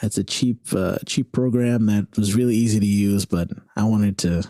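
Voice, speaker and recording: A man with a low, mellow voice speaks as a guest in a casual interview. He feels his way through sentences, often restarting and correcting himself. The sound is close and dry, with very little room around it. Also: dry recording. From southern Africa (South Africa, Zambia) and Virginia, US. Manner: halting, self-correcting, thinking aloud. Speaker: interview guest, singer-songwriter.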